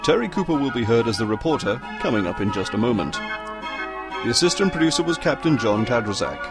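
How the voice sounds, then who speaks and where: Man as a British announcer over loud music. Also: medium pitch, fairly quick. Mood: formal announcing. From Great Britain. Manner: dry, deadpan. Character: sardonic, world-weary show announcer. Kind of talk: scripted comedy announcing and credits.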